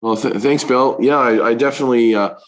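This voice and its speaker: A middle-aged man, a fund manager, answering interview questions in a halting way with many fillers, his voice deepened by illness. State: recovering from covid, voice deepened.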